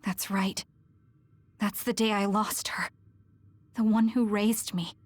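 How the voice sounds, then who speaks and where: An actress playing a guarded, blunt young woman soldier haunted by her memories, in a breathy voice for a video game dub. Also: medium pitch, flat delivery, slow pace, dry recording. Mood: grieving, hushed.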